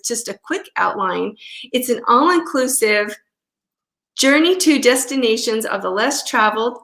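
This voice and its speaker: A woman's voice: on the high side, fairly bright tone, roomy sound. Upbeat woman hosting a live chat. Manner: upbeat, enthusiastic, hesitant with fillers. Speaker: travel advisor and live-chat host. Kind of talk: casual live-stream chat.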